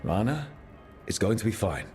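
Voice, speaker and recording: An actor playing a wry, supportive adventurer and leader in a video game, with a casual delivery. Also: male voice, on the low side, very animated, normal speed, darkish tone, faint music behind. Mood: concerned.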